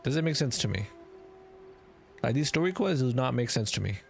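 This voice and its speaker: A man's voice: medium pitch, lively intonation, moderate pace, gravelly, faint music behind. A young man giving casual, slangy live commentary as he streams a game. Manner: slangy, informal.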